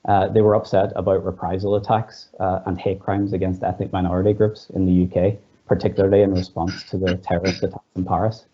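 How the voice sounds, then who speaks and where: A man's voice: low voice, level tone, a little fast, hardly any pauses, mellow, dull audio. A man, a teacher, speaking earnestly on a panel, often starting with "uh". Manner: earnest, with frequent "uh" fillers. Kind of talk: panel contribution.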